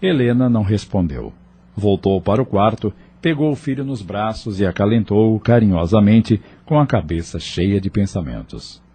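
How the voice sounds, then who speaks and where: A man's voice: fairly low pitch, expressive, normal speed, dark tone, a little hiss or hum. A man reading scripted narration for an audio novel.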